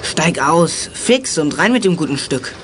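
A young male actor playing a keen, brisk boy detective in a children's radio play. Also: on the high side, very animated, fairly bright tone, slight background noise. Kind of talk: 1980s German children's radio play acting.

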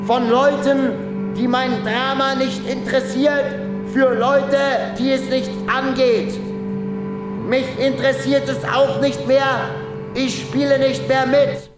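Very high-pitched, resigned stage actor. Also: male voice, flat delivery, slow pace, reverberant, rough recording. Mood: weary, resigned. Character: disillusioned stage actor refusing his role as a tragic prince. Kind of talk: theatrical literary monologue in a radio feature. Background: prominent music.

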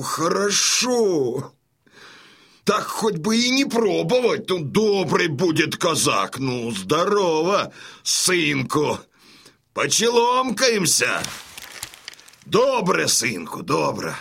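A man's voice: fairly high pitch, vocal fry, a bit bright, husky, full character voice. An actor playing a boisterous old Cossack father in a radio play, teasing and combative with jovial exclamations.

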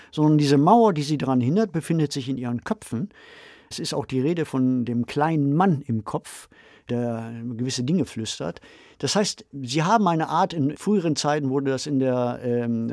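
A man co-hosting an analytical discussion, speaking in a measured way with fairly formal wording. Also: medium pitch, warm tone, no echo, studio quality. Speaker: podcast co-host discussing radio plays.

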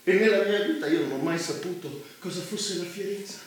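An actor playing a fanatical, tormented brother in a stage drama, grandiose and pleading, declaiming in halting phrases and repeating himself. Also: male voice, high voice, natural voice. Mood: mournful, proud. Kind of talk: stage theatre acting. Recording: echoey stage from far off, faint noise.

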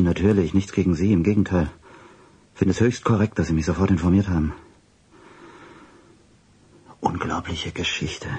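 A flustered male boss in a radio play, with a low and very rough, breathy voice. He issues secretive orders in an officious, clipped way, stumbling and repeating himself as he dictates. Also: a little mellow, exaggerated voice. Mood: agitated, urgent. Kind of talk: radio drama acting, phone calls and dictation.

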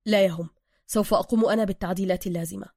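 A woman's voice: medium pitch, fairly even intonation, very fast, a little mellow, no room sound, clean studio sound, lightly acted. A woman voicing a stern, exacting male masked vigilante who speaks formal, bookish Arabic in an audio story. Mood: contrite.

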